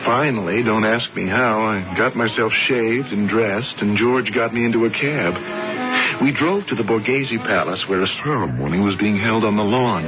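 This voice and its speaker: An actor playing a flustered, easily excited sculptor who stammers, in a 1970s radio drama. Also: male voice, fairly low pitch, moderate pace, music in the background, natural voice. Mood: narrating. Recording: dull 1970s radio with hiss.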